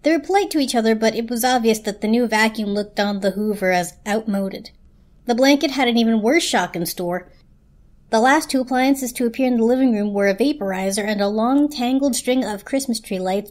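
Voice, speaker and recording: A woman's voice: on the high side, a little fast, a little mellow. Woman narrating a storybook. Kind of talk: dramatic storybook reading.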